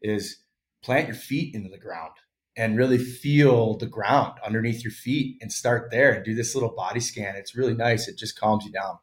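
A man in his thirties talks in a fairly low voice as a guest on an interview podcast. He explains health habits in a loose, conversational way and often hedges what he has read. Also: moderate pace. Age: 30s. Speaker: podcast guest, former pro hockey player. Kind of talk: casual interview chat.

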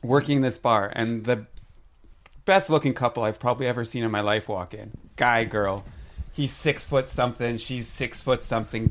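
A man in his thirties telling funny stories in casual chat, animated and laughing at his own jokes. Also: medium pitch.

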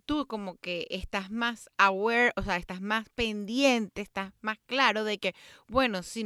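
A woman's voice: medium pitch, sharp tone, clean tone, dry recording, studio quality. A woman co-hosting a casual podcast, explaining things emphatically and dropping in the odd English word. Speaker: podcast co-host. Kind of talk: casual conversational podcast talk.

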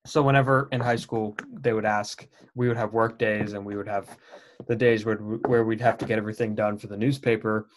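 Young man interviewing, halting and friendly. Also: on the low side, steady tone. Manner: friendly, hesitant, repeats words. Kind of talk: casual interview.

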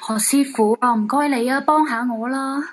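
A woman acting as an anxious wife in a ghost radio play speaks Hong Kong Cantonese. Her voice is high and husky, with an earnest, pleading manner. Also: normal speed. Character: anxious polite wife who feels haunted.

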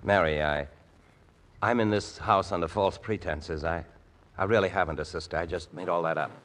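An actor playing a bashful, earnest young soldier, stammering and humble, in 1940s radio drama style. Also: male voice, deep voice, fairly even intonation, normal speed, fairly bright tone. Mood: sheepish, earnest. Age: young adult. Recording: noisy old radio recording.

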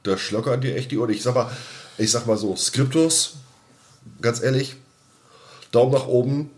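Emphatic male podcaster, mid-pitched voice. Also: fairly even intonation, moderate pace, darkish tone. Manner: colloquial, emphatic, repeats himself. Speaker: podcast co-host. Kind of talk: casual podcast chat.

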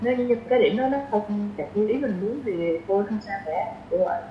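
A woman's voice: mid-range voice, fast. Southern Vietnamese woman chatting, echoey room. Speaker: podcast guest. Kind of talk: casual conversational interview. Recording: echoey room, muffled, faint noise.

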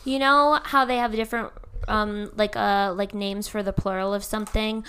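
A woman's voice: medium pitch, steady tone, moderate pace, fairly bright tone, clean tone. A woman in her twenties co-hosting a podcast, joking in a dry, teasing way. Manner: dry, teasing, wry jokes. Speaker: podcast co-host. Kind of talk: casual chat.

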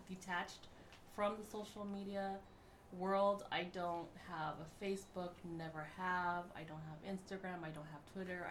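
Woman, late 30s, low and hesitant, echoey room. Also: noisy background. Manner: hesitant, many "um"s, laid back. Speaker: co-host. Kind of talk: casual podcast chat.

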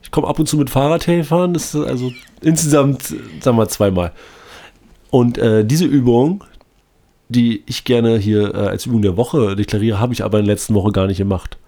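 A man's voice: medium pitch, normal speed, mellow. A man co-hosting a casual podcast chat about his gym, talking loosely with many filler sounds and slightly under the weather. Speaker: podcast co-host, gym trainer.

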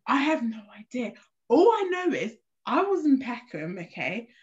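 A woman's voice: on the high side, big pitch swings, normal speed. A young woman, telling a story in a casual podcast chat and often restarting her sentences. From England. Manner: chatty storyteller, restarts a lot. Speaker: podcast co-host. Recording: slightly muffled lo-fi recording.

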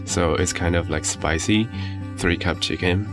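Deep-voiced young man over guitar. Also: fairly even intonation, unhurried. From Sichuan, China. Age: young adult. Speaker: interview guest. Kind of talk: casual personal storytelling. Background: prominent plucked guitar music.

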